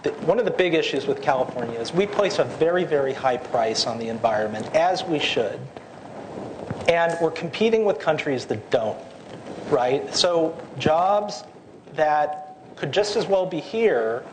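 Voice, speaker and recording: A man's voice: fairly high pitch, lively intonation, a little slow, noisy background. A man running for office, answering forum questions in a confident, persuasive way and checking in with "right?" tags. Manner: assertive and persuasive, with rhetorical tags. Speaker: school board member running for office. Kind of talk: unscripted campaign answer. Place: public forum hall.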